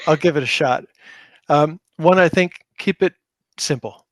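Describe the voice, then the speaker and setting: A man's voice: fairly high pitch, measured pace, dry recording. A man speaking casually as a guest in a podcast interview about his own work, with a self-deprecating manner. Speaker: podcast guest, tabletop game designer.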